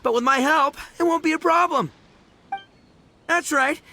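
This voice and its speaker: Boastful young gang leader, high forceful male voice, swaggering, slangy, theatrical, good-hearted, anime game. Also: unhurried, heavily acted. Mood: boastful, excited.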